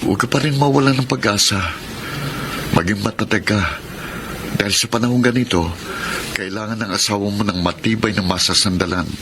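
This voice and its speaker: A kind, steady friend offering quiet reassurance, as a man acts him out in radio drama. His voice is low and gravelly, calm in every line. Steady noise hums in the background. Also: fairly quick, long pauses, close to an everyday voice. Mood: reassuring. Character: kind male friend, adult, steady and supportive. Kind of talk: Tagalog radio drama acting.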